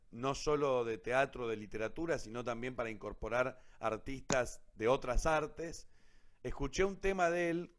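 A man with an Argentine accent casually hosting a cultural programme, speaking off the cuff. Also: medium pitch. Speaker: host of a cultural radio theatre programme.

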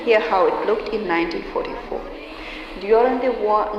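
Woman guide in a very echoey hall. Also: medium pitch. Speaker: museum guide. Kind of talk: recited guided-tour talk. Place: large reverberant indoor museum hall. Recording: very echoey noisy hall, long reverb.

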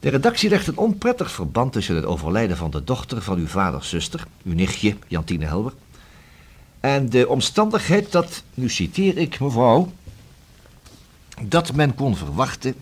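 An actor playing a persistent, ironic police detective in a radio play, speaking formally and unflappably. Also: male voice, lowish voice, breathy edge.

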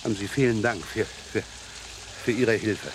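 A man's voice: fairly low pitch, normal speed, noisy background, natural voice. An actor playing a flustered, evasive man in a crime radio play, stammering and nervously polite. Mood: sheepish, polite. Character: flustered, evasive, deferential man disposing of a corpse.

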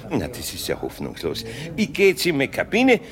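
An actor playing a gruff, kindly older craftsman with a Bavarian accent, muttering and hesitant, in a radio play. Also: medium pitch, huge pitch swings, moderate pace, crowd noise. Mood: awkward. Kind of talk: 1970s radio play acting.

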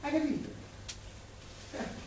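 An actor playing an affectionate, teasing working-class Roman husband of the wartime years in a colloquial stage drama. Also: male voice, slow, a little mellow, natural voice. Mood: coaxing, nostalgic. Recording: very echoey stage, distant, noisy.

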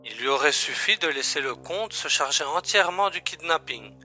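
A man playing a calm, matter-of-fact secret agent colleague in a science-fiction audio drama, explaining things in a familiar way. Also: fairly high pitch, normal speed, soft background music. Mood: briefing.

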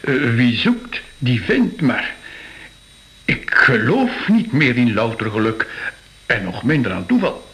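A man's voice: medium pitch, very animated, slow pace, fairly bright tone. A man playing a courteous, thoughtful museum curator in a radio drama, with polite, slightly hesitant phrasing. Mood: polite, reflective.

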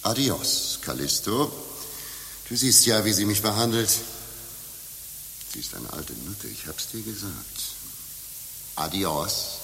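An actor playing a sly, flattering old rogue in a German radio play, with a wry, theatrical manner. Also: male voice, on the low side, slow, vocal fry, pauses often, full character voice. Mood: flattering, then spiteful. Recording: long reverb, hissy background.

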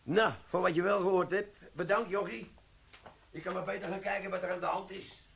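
An actor playing a sly, glib army quartermaster sergeant in a radio play, talking with cheeky, wheedling banter. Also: male voice, high voice, fairly even intonation, brisk, vocal fry, fairly bright tone, breathy edge, exaggerated voice.

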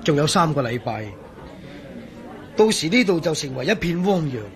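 Easygoing engineer friend, Hong Kong Cantonese radio drama. Slightly high voice, chummy and expressive, over clear crowd chatter. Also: male voice, normal speed. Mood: earnest. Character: genial, easygoing male engineer and old friend.

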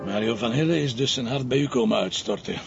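An actor playing a shrewd, probing middle-aged police commissioner with a Flemish accent, talking in a dry, insistent way. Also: male voice, quick pace, creaky, faint music behind, lightly acted. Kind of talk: television crime drama. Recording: dull lo-fi TV audio with faint rumble.

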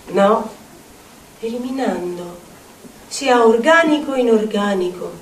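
An actress playing a tormented, eccentric Neapolitan outcast haunted by spirits, in a theatrical monologue with a Neapolitan accent and incantatory, deliberate repetitions. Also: female voice, medium pitch, darkish tone, a little hiss or hum, natural voice. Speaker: stage actress. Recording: echoey theatre audio, distant, music behind.

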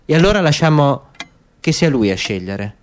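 A man's voice: a little high, very animated, moderate pace, voice pushed up. A man voicing an earnest, resolute, troubled elderly woman in a radio play, in a noisy recording. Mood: pleading, firm.

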